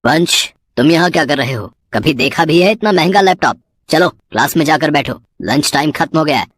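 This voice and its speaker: A woman's voice: deep voice, expressive, a little fast, dry recording, clean studio sound. A woman voicing a strict male school computer teacher in a cartoon story. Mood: scolding. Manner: strict, scolding. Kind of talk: cartoon voice acting.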